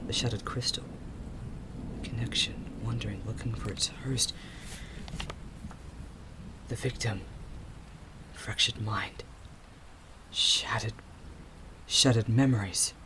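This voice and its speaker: A man's voice: on the low side, background noise. A man acting a hesitant young man with fractured memories in an audio drama, stammering and thinking aloud. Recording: music under the voice.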